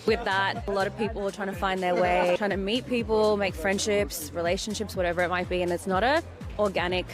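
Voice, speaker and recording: A woman interviewee explaining in a relaxed, casual way. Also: medium pitch, a little fast. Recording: interview clip with music.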